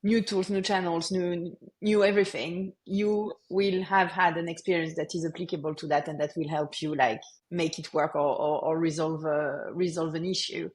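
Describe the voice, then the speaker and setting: A French-accented woman in her forties speaks in a fairly low voice, thinking aloud as she goes. She circles back and restarts her sentences, with small laughs between thoughts, in a relaxed podcast conversation. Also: moderate pace. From France. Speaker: marketing executive guest. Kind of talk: casual podcast interview.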